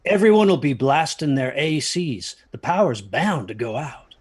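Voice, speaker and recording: A man playing an uneasy parent in an audio drama, speaking in a halting, ominous, husky way that drops toward whispers. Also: a little high, animated, a little mellow. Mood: anxious, dismissive. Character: uneasy parent warning children about haunted theaters.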